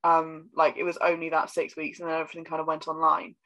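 A woman's voice: low voice, completely flat delivery, fast, fairly bright tone, poor audio. A woman, co-hosting a casual podcast, teasing and rambling with many fillers. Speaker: podcast co-host. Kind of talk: casual chat.

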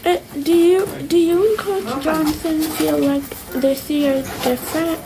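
A woman's voice: sits high, steady tone, a little slow. A young woman asking questions casually as a podcast interviewer, over faint background noise.

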